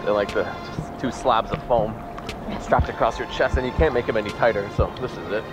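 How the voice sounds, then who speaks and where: A man's voice: fairly low pitch, a little fast, music in the background. A man in his thirties from Canada chatting casually to a handheld camera outdoors as a travel vlogger. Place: outdoors, on a boat and around town. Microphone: handheld camera at arm's length.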